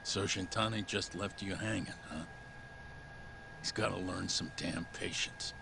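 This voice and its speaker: An actor playing a gruff male colleague in a crime drama game, talking in a blunt, teasing way. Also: sits low, fairly even intonation, a little slow. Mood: teasing, irritated. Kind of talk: cinematic video game dialogue. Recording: clear background ambient noise.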